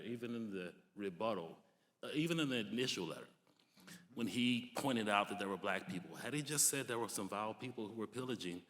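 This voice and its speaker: A young man shares his reflections in a conversational way at a public discussion. His voice is a bit low, carried by the long reverb of a hall. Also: fairly bright tone. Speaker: divinity student, discussion participant.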